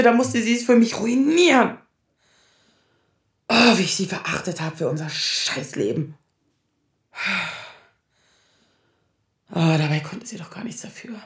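A woman's voice: mid-range voice, darkish tone, reverberant, close to an everyday voice. An actress playing a defensive, sardonic woman in her thirties, confessional, in naturalistic radio drama style. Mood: bitter, anguished. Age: 30s.

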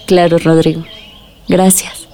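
A woman's voice: on the low side, flat delivery, slow, warm tone, slight background noise. An actress playing a troubled, caring young village woman in a radio drama, confiding and weary. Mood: warm, grateful.

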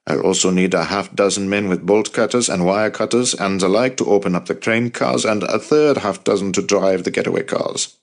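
A man voicing a low, determined resistance fighter. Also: moderate pace. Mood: resolute. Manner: earnest, blunt, persuasive.

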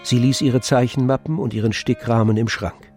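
Casting: male literary narrator for radio play, medium register, composed and measured, set against musical backing. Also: medium pitch, fairly even intonation, darkish tone, faint music behind.

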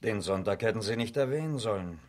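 A man's voice: on the low side, lively intonation, fast talker, a little hiss or hum, close to an everyday voice. A man acting a sceptical, needling police detective in a 1970s East German radio crime drama, dry and accusing. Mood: sardonic. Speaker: actor.

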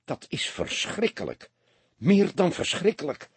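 Male narrator as wealthy businessman, audiobook character, polished formal manner, animated pitch, very raspy. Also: measured pace, no room sound, exaggerated voice. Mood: shocked, distraught. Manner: affable, formal, exclamatory. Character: wealthy smooth businessman, theatrically distraught, then affably condescending.

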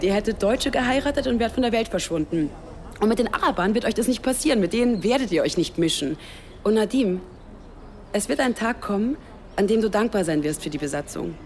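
An actress playing a brash, tactless German journalist of about thirty in a radio drama. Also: female voice, mid-range voice, darkish tone, slight background noise, lightly acted. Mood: smug, tactless. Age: 30s.

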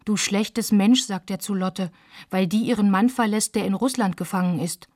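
A woman's voice: mid-range voice, moderate pace, dry recording, studio quality, close to an everyday voice. A woman in a literary radio play, narrating as a girl who witnesses postwar family life, in plain short sentences. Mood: agitated. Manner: plain short sentences, quoting shouted insults.

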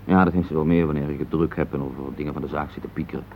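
Brisk, low-voiced businessman husband. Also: fairly even intonation. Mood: affable, businesslike. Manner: brisk, patronising, full of tag questions. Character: busy businessman husband, dismissive, impatient, placating. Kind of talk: radio play acting. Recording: dull lo-fi radio play recording.

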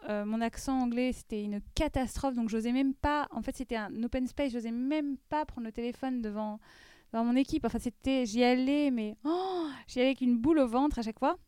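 A woman's voice: fairly high pitch, fairly quick, warm tone, clear, resonant tone, dry recording, clean studio sound. A woman in her thirties telling a personal story as an interview guest, hesitant with frequent restarts and fillers.

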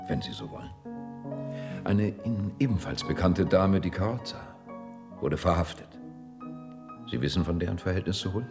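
An actor playing a grave, formal public prosecutor with a measured, restrained manner in a German radio drama. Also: male voice, sits low, lively intonation, creaky, over music. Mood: guarded, persuasive.

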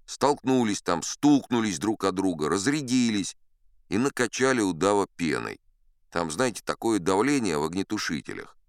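Male actor as an old braggart sea captain, smooth deep voice, dry close studio sound, chatty tall-tale teller. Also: very animated, moderate pace, dry recording. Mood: boastful, confiding. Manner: chatty, confiding asides to the listener. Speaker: audiobook narrator. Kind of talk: first-person storytelling in an audio play.

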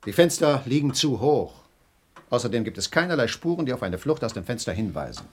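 A man plays a stubborn radio-drama police inspector with lively, swinging intonation. He is brusque, skeptical and dismissive, easily flustered, in a mid-pitched voice. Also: brisk, slight background noise, lightly acted. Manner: brusque, huffy, sometimes stammering. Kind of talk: German radio play acting.

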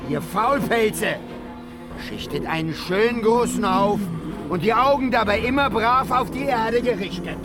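An actor playing a bitter, scheming captive dwarf tyrant in a fantasy radio play, with theatrical delivery between hissed muttering and shrill commands. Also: male voice, exaggerated voice.

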